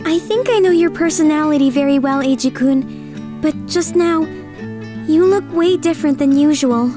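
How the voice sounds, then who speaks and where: A sweet, lonely anime high school girl from a rich family acted by a woman. Her voice is high and girlish with a gentle manner, and music plays clearly behind it. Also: normal speed, close to an everyday voice. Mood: alarmed, puzzled. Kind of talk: anime-style manga dub acting.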